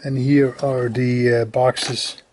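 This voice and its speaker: A man giving a casual, unscripted hands-on equipment demonstration, halting and matter-of-fact as he goes. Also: mid-range voice, level tone, a little mellow. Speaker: radio hobbyist demonstrating equipment. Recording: faint background noise.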